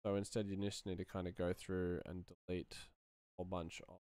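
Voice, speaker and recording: A man's voice: low voice, flat delivery, brisk, warm tone, dry recording. A man in his thirties muttering in fragments as he casually narrates his work at a computer. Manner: muttering, thinking aloud in fragments. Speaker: sound designer, streamer. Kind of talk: casual screen-share commentary while working. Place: small indoor room at a computer.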